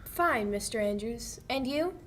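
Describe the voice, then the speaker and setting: A woman's voice: on the high side, animated, measured pace, slight background noise. A young female amateur actor playing a sleuth's affectionate young sweetheart in 1940s radio play style. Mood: polite. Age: young adult. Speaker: amateur high school actor.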